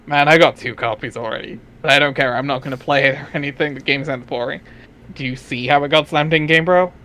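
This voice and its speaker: A man's voice: mid-range voice, fairly even intonation, fast, sharp tone, close to an everyday voice. A man reading a cocky, flippant webcomic teenager in a casual amateur dramatic reading. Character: cocky, foul-mouthed deadpan cool teenage boy, webcomic character.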